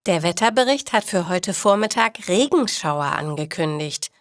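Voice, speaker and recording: A woman narrating scripted stories for language learners, reading in a deliberate, clearly articulated manner. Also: lowish voice, animated, dry recording, studio quality.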